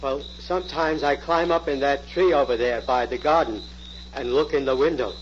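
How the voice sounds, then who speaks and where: An actor playing a naive, timid, simple fellow with hesitant, folksy speech in a 1940s radio drama. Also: male voice, mid-range voice, moderate pace, natural voice. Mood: naive, eager. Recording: dull, noisy old radio recording.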